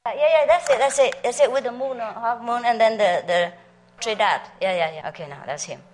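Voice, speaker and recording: A woman's voice: a little high, brisk. A middle-aged woman from Vietnam, a spiritual teacher telling stories to a meditation group in a chatty way, often laughing and asking her listeners questions. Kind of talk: storytelling talk to a meditation group.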